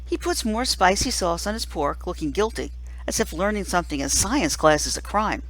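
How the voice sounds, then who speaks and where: A woman reading a first-person children's novel aloud as scripted narration, in a measured storytelling manner. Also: fairly low pitch, animated, low-quality recording. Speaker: reader, teacher.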